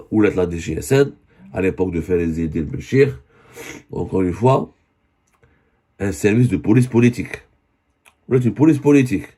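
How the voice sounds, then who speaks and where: A man with a voice hoarse from a cold, giving an earnest spoken news commentary and often correcting himself. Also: sits low, animated, normal speed, long pauses, warm tone. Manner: earnest, with many self-corrections.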